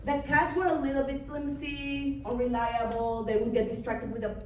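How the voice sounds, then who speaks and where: A woman from Costa Rica with a Costa Rican Spanish accent, performing a wry, deadpan stand-up set in a comedy club. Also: high voice, moderate pace. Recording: far-off echoey room with background noise. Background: audience laughter and ambience.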